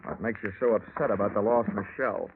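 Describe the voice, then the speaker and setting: A man's voice: lowish voice, fast talker, noisy background, natural voice. Tinny old-radio hard-boiled American detective played by a man. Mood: cool, wry. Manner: sardonic, wry, clipped wisecracks. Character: wisecracking, hard-boiled male insurance investigator. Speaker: lead actor and narrator in a radio detective serial. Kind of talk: 1940s American radio drama acting, hard-boiled narration. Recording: muffled, narrow-band old radio recording.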